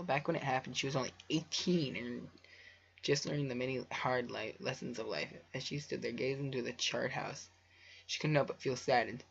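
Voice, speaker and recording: A man's voice: medium pitch, creaky, fairly bright tone, husky. A man reading a story aloud casually for a video, stumbling over words and breaking off into offhand asides. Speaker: amateur fan fiction reader and video creator. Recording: dull lo-fi home recording.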